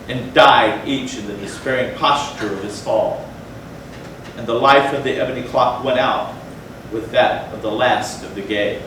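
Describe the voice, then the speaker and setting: A man narrating gothic horror as a dramatic stage reading, solemn and theatrical with deliberate phrasing. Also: medium pitch. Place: indoor theatre, recorded from a distance. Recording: very echoey hall, far mic, noisy.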